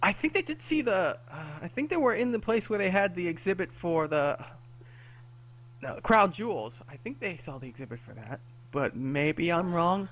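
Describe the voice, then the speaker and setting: A man's voice: sits high, big pitch swings, moderate pace, a bit bright. Hesitant man, chatty podcast host. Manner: hesitant, many fillers and self-corrections. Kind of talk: casual chat.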